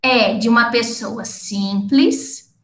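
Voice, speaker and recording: Woman, lecturer, high voice, explanatory, frequent tag questions, echoey room. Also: slow pace, a bit bright. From not from the city of the event. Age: adult with completed schooling. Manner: explanatory, often ends points with tag questions. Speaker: lecturer and researcher. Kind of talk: academic lecture to teachers.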